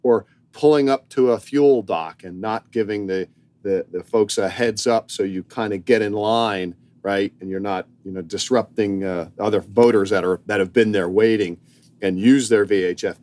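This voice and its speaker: An American man co-hosting a podcast in casual chat, a little halting and repeating himself for emphasis. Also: on the low side, a little mellow. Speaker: podcast co-host, boat captain. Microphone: remote connection.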